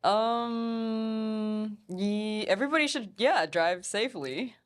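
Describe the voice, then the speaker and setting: A woman's voice: fairly high pitch, slow. A young adult woman speaking as a guest in a casual, conversational debate.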